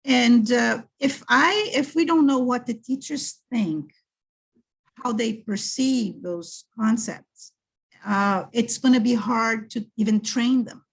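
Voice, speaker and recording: Middle-aged woman from Brazil, husky, thoughtful guest who restarts her sentences. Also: a little high, takes it slowly, poor audio. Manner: thoughtful, with frequent restarts and fillers. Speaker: guest, French teacher and PhD candidate. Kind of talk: casual interview chat.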